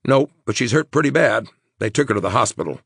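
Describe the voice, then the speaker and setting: Guy doing a fussy worried mayor in an audiobook, pushed up higher, urgent and animated. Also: fast talker, vocal fry, slightly rough, no room sound, studio quality, full character voice. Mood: eager. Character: anxious, officious male small-town mayor, loyal friend.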